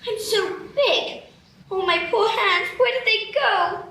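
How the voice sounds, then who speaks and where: Lady playing a little girl, prim and polite, high bright voice, echoey stage. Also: slow pace, heavily acted. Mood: flustered. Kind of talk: live radio play acting. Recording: roomy stage from a distance, faint hiss.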